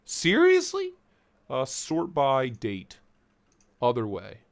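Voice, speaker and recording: A man's voice: medium pitch, huge pitch swings, slow, creaky, dry recording. A man hosting a casual live stream, joking around and greeting viewers by name, his voice starting to go. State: losing his voice.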